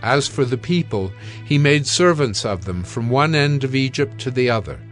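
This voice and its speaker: Male narrator, mid-pitched, scripture storytelling for audio drama, faint music underneath. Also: expressive, normal speed, a bit bright.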